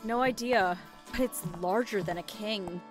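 Woman acting anxious widowed mother, reluctant thief, audio drama, medium pitch, music behind. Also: measured pace, lightly acted. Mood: awed, unsure.

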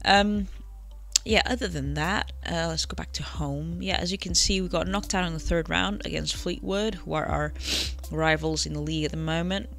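A man's voice: on the high side, normal speed, vocal fry, a bit bright. A man with a cold, chatting casually through a football management game run with many fillers and self-corrections. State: has a cold. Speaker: game channel host. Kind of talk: casual gameplay commentary. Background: faint background music.

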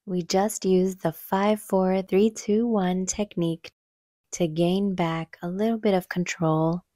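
A woman leading a guided meditation in a soothing, gentle, unhurried manner, with soft breathy phrase endings. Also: lowish voice, fairly even intonation, dry recording, studio quality. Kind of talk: calm guided meditation narration.

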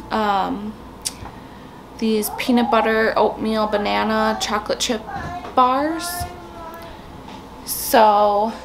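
A woman in her thirties with a raspy morning voice, chatting casually to a handheld camera as she vlogs her day at home. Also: medium pitch, a little hiss or hum. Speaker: family vlogger and mother. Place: rooms at home, indoors. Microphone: camera at arm's length, sometimes set down.

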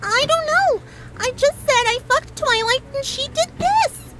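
A woman voicing a bubbly, oblivious young female cartoon pony, excitable and chirpy. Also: very high-pitched, unhurried, noisy background, pitched above the performer's own voice. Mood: excited.

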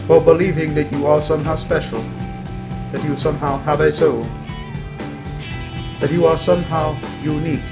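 A man voicing a coldly superior, menacing robot overlord in a roleplay monologue, with deliberate delivery and stuttering glitches on words. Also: fairly high pitch, flat delivery, over music, lightly acted. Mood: threatening, final.

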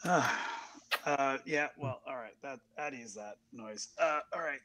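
A man's voice: mid-range voice, a little slow, a bit bright. A man improvising as a ship's captain in a tabletop roleplay, giving businesslike orders with some hesitation. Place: indoors, group video call.

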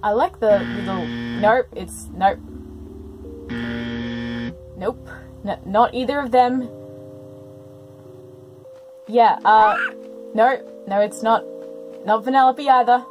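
A woman's voice: fairly high pitch, animated, takes it slowly, pauses often, music in the background. A woman giving casual reaction commentary, dropping exclamations and asides to the characters as she watches.

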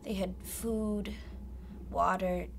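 A woman playing a grown woman with a child's mind in an audio drama, speaking simply and haltingly. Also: medium pitch, fairly even intonation, creaky, slight background noise. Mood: childlike, earnest.

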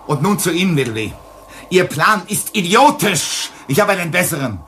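An actor playing a hard, domineering colony director in a science fiction radio drama, with an emphatic delivery. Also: male voice, sits high, sing-song, normal speed, pushed and bright, a little hiss or hum, full character voice. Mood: commanding. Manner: commanding, emphatic. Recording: dramatic music bed under the voice.

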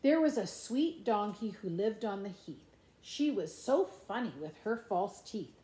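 A middle-aged woman reading a children's picture book aloud in an expressive, playful, sing-song way, with asides about the pictures. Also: mid-range voice, a little slow. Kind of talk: animated children's storybook read-aloud with asides. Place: indoors in a room at home. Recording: echoey room.

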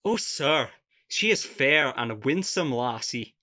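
An actor playing an earnest young Scottish peasant lad in love, sentimental and declamatory, in a farcical radio play. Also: male voice, mid-range voice, animated, unhurried, bright, forceful tone, dull audio.

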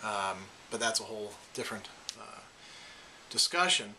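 A man's voice: on the low side, animated, moderate pace, bright, forceful tone, a little hiss or hum, poor audio. A man from Wisconsin with an Upper Midwest American accent, talking casually to camera as a philosophy lecturer and often restarting his phrases. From Wisconsin, USA. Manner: thoughtful, repeats words as he restarts. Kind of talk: casual personal talk to camera.

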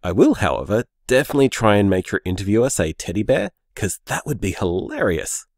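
A man's voice: lowish voice, sing-song, brisk, dry recording, clean studio sound. A man playing an easygoing, sceptical young boyfriend in a naturalistic audio drama, conversational and hesitant. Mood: wry, affectionate. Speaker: podcast drama actor.

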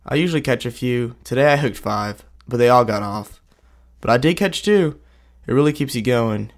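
A boy in his early teens answers questions casually as a student interviewee. His voice sits at a middle pitch. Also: expressive, moderate pace. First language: Swiss German learned in early childhood alongside English. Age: young teen.

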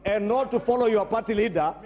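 A man's voice: high-pitched, quick pace, a little mellow, long reverb tail. Combative Kenyan man in parliament. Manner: combative, accusing. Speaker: member of parliament. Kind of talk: parliamentary debate speech. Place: large parliament chamber. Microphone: desk microphone.

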